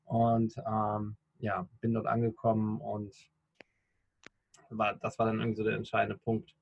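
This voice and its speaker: Man in his thirties, gravelly, husky, dry close sound, reflective, hesitant interview guest. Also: on the low side, completely flat delivery, a little slow, no room sound. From Rhineland, Germany. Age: 30s. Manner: reflective, hesitant, many fillers and restarts. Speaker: interview guest, coach and podcaster. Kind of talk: casual interview talk. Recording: dull, lo-fi sound.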